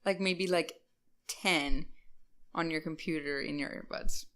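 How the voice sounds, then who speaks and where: Woman, podcast co-host, slightly low voice, chatty and animated, heavy on fillers. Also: measured pace. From Rhode Island. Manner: animated, many "like" fillers, loud bursts. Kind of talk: casual chat.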